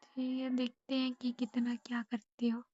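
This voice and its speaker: High-voiced young woman on a muffled call. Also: monotone, a little slow, warm tone, slightly rough. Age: young adult. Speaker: girlfriend. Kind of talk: casual intimate phone chat. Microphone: phone call. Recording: muffled lo-fi phone call recording.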